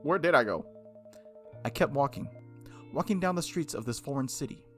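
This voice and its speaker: A man's voice: medium pitch, lively intonation, moderate pace, soft background music. A man playing and reading a visual novel aloud, with playful casual asides and the odd stumble over words. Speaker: gaming video host.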